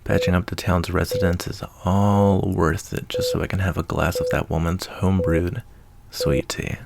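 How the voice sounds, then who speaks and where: Low, creaky-voiced kindly wasteland doctor, played by a man. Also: wildly swooping pitch, normal speed, very noisy, full character voice. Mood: gentle, folksy. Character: kindly, easygoing male wasteland doctor reassuring a patient. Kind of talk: ASMR roleplay, soft-spoken and intimate.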